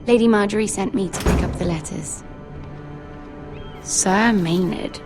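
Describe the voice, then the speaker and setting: An actress playing a friendly young handmaiden in a fantasy game, with a chatty, teasing manner. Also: female voice, lowish voice. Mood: playful. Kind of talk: dramatic fantasy game acting.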